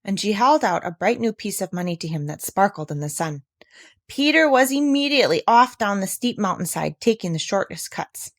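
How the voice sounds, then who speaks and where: A woman narrating a scripted children's story in an expressive, careful storytelling manner. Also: lowish voice, no echo, studio quality. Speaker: audiobook reader.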